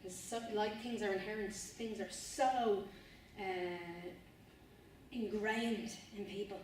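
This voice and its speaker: A woman's voice: medium pitch, steady tone, slow pace, a little hiss or hum. Distant Irish woman in a very echoey room. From Ireland. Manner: earnest, rambling, repeats and restarts phrases, says "you know what I mean". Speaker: spoken word artist giving a talk. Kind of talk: informal talk to students. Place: university room, indoors.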